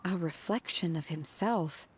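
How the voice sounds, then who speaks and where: A woman playing a solemn, reserved young woman in a fantasy game, speaking earnestly and deliberately. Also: on the low side, slight background noise, natural voice. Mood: pensive, melancholy. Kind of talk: fantasy game cutscene acting.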